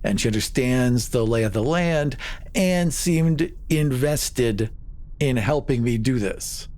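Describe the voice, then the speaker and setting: A man's voice: medium pitch, animated, takes it slowly, a little mellow. A man chatting casually as a tabletop game player, improvising what his character will do and say. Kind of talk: casual improvised roleplay chat.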